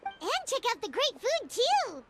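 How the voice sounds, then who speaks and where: A woman voicing a tiny, excitable fairy companion in a video game, bubbly and chatty. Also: extremely high pitch, unhurried, over loud music, full character voice. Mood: chirpy. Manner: bubbly, talks about herself by name.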